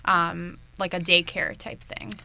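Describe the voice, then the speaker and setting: A woman's voice: on the low side, level tone, a little slow, vocal fry, pushed and bright, a little hiss or hum. A young adult woman hosting a radio interview show. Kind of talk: scripted intro, then conversational interview. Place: dry radio studio.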